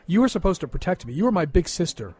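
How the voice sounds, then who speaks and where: A man's voice: high-pitched, sing-song, fast talker, a little mellow, husky, heavily acted. A man playing a big, traumatized and resentful younger brother in an audio drama, bitter and wounded in manner. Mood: bitter, accusing.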